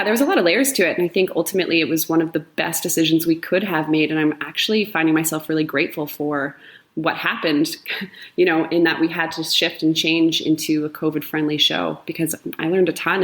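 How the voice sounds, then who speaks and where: A woman's voice: low-pitched, steady tone, fast talker. A woman drama teacher answering interview questions earnestly and reflectively, with frequent "um" fillers. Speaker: high-school drama teacher.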